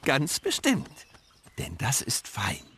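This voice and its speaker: A storybook animal for children's radio, low in pitch for a female voice. The delivery swoops wildly up and down and takes the bedtime rhymes very slowly. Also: huge pitch swings, exaggerated voice. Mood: contented. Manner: slow, swooping sing-song delivery.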